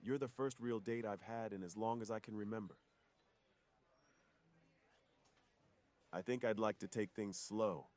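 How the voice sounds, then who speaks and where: A man's voice: lowish voice, a little fast, a little mellow, close to an everyday voice. A man playing a weary, secretive superhero on a date, earnest and confiding, in an intimate ASMR roleplay. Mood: confiding, wistful.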